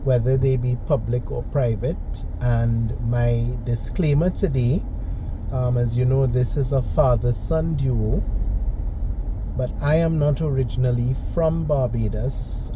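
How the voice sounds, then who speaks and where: A man hosting a driving tour, narrating casually as he drives, polite and measured, carefully correcting himself as he goes. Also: mid-range voice. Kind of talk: unscripted narration while driving. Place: inside a moving car. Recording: loud road and engine noise.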